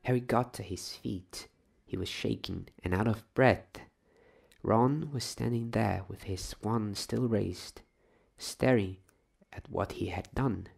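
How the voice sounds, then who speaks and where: A man narrates a bedtime story in a soft ASMR style. His voice is low and husky, with plenty of creak, and he takes his time, hushed and at times whispering. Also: slow pace. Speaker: storyteller reading aloud.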